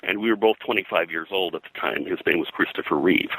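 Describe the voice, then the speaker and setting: An older man reminiscing as an interview guest in a casual, halting, digressive way, often clearing his throat. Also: deep voice, fairly bright tone, rough recording. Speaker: interview guest, comics colorist and pop culture historian.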